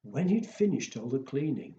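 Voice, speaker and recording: A man's voice: mid-range voice, sing-song, moderate pace, mellow. Echoey, playful male children's storyteller. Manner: playful, simple phrasing with acted woofs and exclamations. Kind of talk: storytelling and counting rhymes for young children. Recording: echoey room, dull sound, faint noise.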